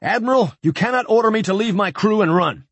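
Male reader as a stubborn starship captain: firm, urgent, defiant, a touch higher; dry. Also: expressive, moderate pace, breathy edge, no echo.

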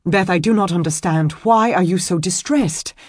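Casting: woman as commanding yet gentle medieval nobleman for historical audiobook, low register, formal old-fashioned diction, husky tone. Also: moderate pace, no echo, studio quality, close to an everyday voice. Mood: bewildered. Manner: formal archaic phrasing, earnest, emphatic. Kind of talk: historical romance audiobook character acting.

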